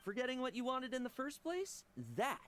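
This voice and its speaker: Wry young knight, very animated. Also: male voice, very high-pitched, normal speed, slight background noise. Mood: cocky. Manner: wry, teasing, casual. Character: playful, wry, roguish young knight, ambitious. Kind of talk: video game voice acting.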